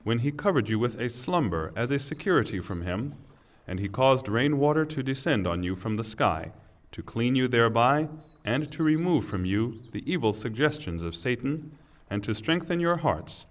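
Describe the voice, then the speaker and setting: A man giving a solemn, scripted reading of scripture in a measured, declamatory manner. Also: medium pitch, big pitch swings. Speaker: reader of scripture translation.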